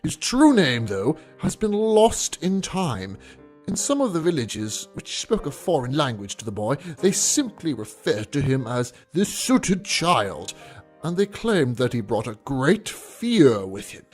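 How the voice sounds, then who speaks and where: A man reading a scripted literary tale as a podcast storyteller, with a measured, formal delivery. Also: medium pitch, very animated, breathy edge, faint music behind.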